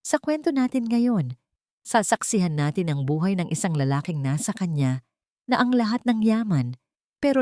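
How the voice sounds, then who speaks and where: A woman narrating a scripted audiobook story in a deliberate, dramatic way. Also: fairly low pitch, darkish tone, no echo, clean studio sound.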